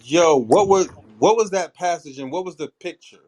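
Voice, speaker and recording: A man's voice: sits high, a little mellow, slight background noise. Loose, joking podcast host, a man. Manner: reads passages aloud haltingly. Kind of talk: casual chat.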